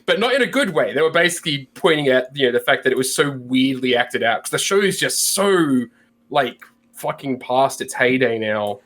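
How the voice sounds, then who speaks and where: A man's voice: medium pitch, very animated, moderate pace, a bit bright. Man just over a sinus infection, hesitant. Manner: hesitant, many ums and restarts, swears casually. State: recovering from sinus infection after losing voice. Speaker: podcast co-host. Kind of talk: casual chat. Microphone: video call.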